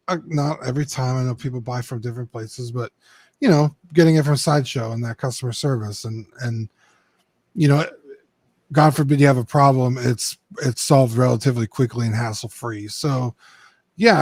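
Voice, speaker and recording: A man's voice: medium pitch, darkish tone, no echo. A young man co-hosting a casual collectibles podcast, rambling with lots of ums and the odd swear. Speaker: co-host.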